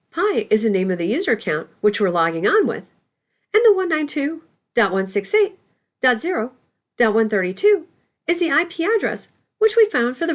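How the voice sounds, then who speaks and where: A woman instructor reading a scripted tutorial in a careful, instructional way. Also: a little high.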